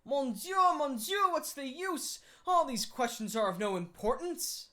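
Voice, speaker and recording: A woman reading aloud gives an emphatic, mocking voice to a self-assured male gentleman thief in an audiobook. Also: on the high side, very animated, moderate pace. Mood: confident. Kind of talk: audiobook character voice.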